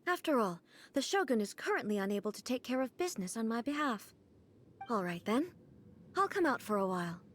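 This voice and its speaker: A woman voicing a composed, aloof female ruler in a video game cutscene, speaking in a calm, measured, formal way. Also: on the high side, a little hiss or hum.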